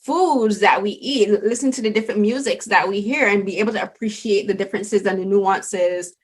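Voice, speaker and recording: A woman with a mid-range voice and a softened Barbadian accent hosts a casual interview. She is outspoken and animated, laughing easily as she makes her points. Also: mid-range voice, fairly quick, husky. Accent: Barbadian, softened toward neutral. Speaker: interview host.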